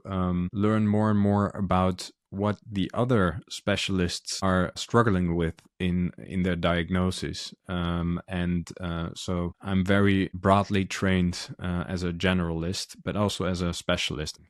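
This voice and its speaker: A man with a Dutch accent speaks in a low, level voice, pausing often on ums and uhs as he explains things earnestly. The recording is dry and close, with no room sound. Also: little pitch movement, no echo. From Netherlands. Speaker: podcast host, physician. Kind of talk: solo podcast monologue.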